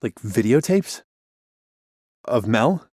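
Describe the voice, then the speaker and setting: An actor playing a broken-down radio DJ, weary, sardonic and self-deprecating, in conversational audio drama dialogue. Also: male voice, medium pitch, sing-song, slow, a little mellow, dry recording, studio quality. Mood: curious, skeptical.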